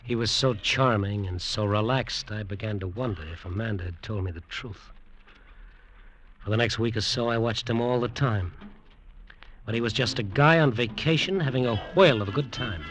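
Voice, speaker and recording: An actor playing a tense, suspicious resort owner, narrating and talking tersely in a vintage radio drama style. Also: male voice, lowish voice, moderate pace, fairly bright tone, a little hiss or hum, close to an everyday voice, poor audio. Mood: brooding narration.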